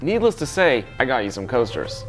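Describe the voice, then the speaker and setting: A young man co-hosting a comedy vlog, reading fan letters aloud with a playful deadpan. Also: medium pitch, brisk, faint music behind. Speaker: co-host, "international correspondent".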